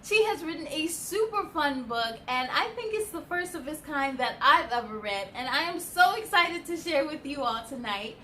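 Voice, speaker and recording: A woman's voice: high-pitched, animated, moderate pace, hardly any pauses, sharp tone, slight background noise. A woman hosting a children's read-aloud, enthusiastic and speaking straight to young listeners, with storybook reading and chatty asides.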